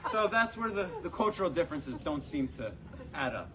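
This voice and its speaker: A young man telling stand-up comedy stories to an audience in a conversational, halting way, with pauses. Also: fairly high pitch, big pitch swings. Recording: very noisy live comedy recording with clicks. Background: audience laughter.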